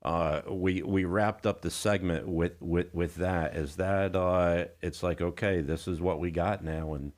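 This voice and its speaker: Deep-voiced man hosting radio. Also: steady tone, a little slow, few pauses, a little mellow. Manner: emphatic, addresses listeners as folks. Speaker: radio host. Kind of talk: enthusiastic interview chat. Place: radio studio.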